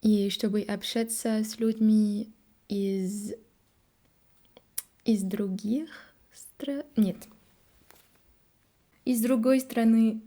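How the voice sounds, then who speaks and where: A young French woman with a French accent, talking to camera in a learner's halting Russian, pausing often to search for words and correct herself. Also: on the high side, steady tone, warm tone. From France. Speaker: vlogger. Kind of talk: casual monologue to camera.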